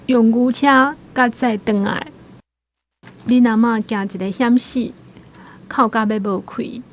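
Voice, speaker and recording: A woman narrates a radio drama in a Taiwanese accent. Her voice sits fairly high, and she tells the story at a very unhurried pace. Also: fairly even intonation.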